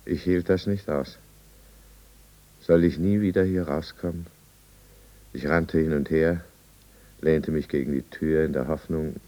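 Deep-voiced, desperate drunkard. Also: male voice, dark tone. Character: desperate drunkard businessman, besotted and blustering, later remorseful narrator. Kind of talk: 1950s German radio drama acting.

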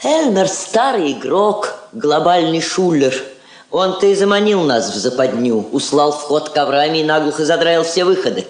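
A woman's voice: sits low, lively intonation, moderate pace. A shrewd, resolute woman diplomat of middle age in a vintage radio drama. Her voice moves expressively with theatrical diction and sounds roomy, as in a 1960s studio play. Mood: shrewd, calculating. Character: poised, shrewd Soviet woman ambassador, tender but resolute.

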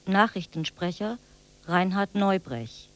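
Very slow, measured female radio announcer. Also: mid-range voice, animated. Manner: measured, neutral, carefully enunciated. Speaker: announcer reading cast credits. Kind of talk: formal scripted radio announcement.

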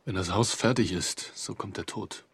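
An actor playing a melancholy middle-aged merchant senator in a radio drama, speaking in a halting, weary way. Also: male voice, lowish voice, slightly rough. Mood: brooding, weary. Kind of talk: German radio drama acting.

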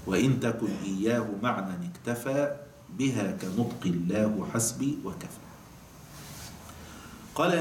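A man with an Egyptian Arabic accent teaching a classical grammar text. He explains patiently, reads quotations slowly and answers his own questions. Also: fairly low pitch. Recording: echoey room, voice from a distance, faint noise.

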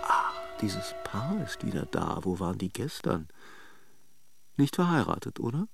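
An actor in a radio drama playing a suave, gossipy holiday idler, musing theatrically with ironic courtesy. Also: male voice, fairly low pitch, sing-song, measured pace, vocal fry, over loud music, full character voice. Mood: amused speculation. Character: suave, gossipy male holiday idler watching café guests.

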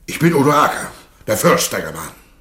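An actor in a radio play playing a proud but reluctant Germanic prince and warlord, earnest and declamatory. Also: male voice, mid-range voice, sing-song, fairly quick, fairly bright tone. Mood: pompous, forceful. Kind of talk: theatrical radio play dialogue.

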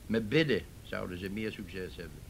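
A man's voice: low-pitched, normal speed, creaky, slight background noise. An actor playing a cynical, grumbling man in a radio drama, speaking dismissively. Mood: scornful.

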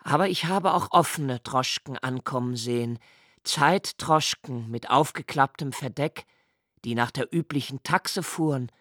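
An actor playing an anxious, brooding young writer in a literary radio drama monologue, intense and deliberate in delivery. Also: male voice, medium pitch, a bit bright, no echo. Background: music.

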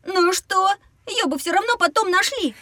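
A woman's voice: very high voice, expressive, moderate pace, sharp tone, no room sound, full character voice. A woman voicing an inquisitive, cheeky young schoolboy in a children's educational audio drama, eager and plain-spoken.

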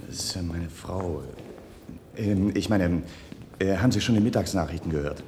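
Very deep, creaky, anxious husband. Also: male voice, a little mellow. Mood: anxious, halting. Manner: halting, confiding, uneasy. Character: anxious, hesitant man pleading about his sick wife. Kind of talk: German radio play acting. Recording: long reverberant hall, faint noise.

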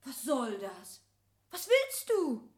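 An actress playing a coy, greedy young slave girl in a verse radio play, flattering and teasing theatrically. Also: high voice, slow pace, breathy edge, heavily acted. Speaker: actor.

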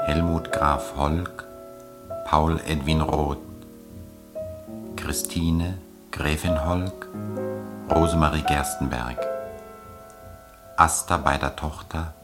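A man formally announcing a radio drama and reading its cast in a measured, solemn manner. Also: rumbling low, fairly even intonation, over loud music.

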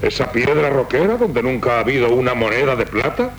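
Older proud count, Castilian accent, sarcastic, courtly, highly varied intonation, vintage radio drama. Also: male voice, very animated, fairly quick. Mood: scornful, mocking. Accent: Castilian Spanish. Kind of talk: 1970s radio drama acting. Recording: noisy 1970s radio recording with rumble.

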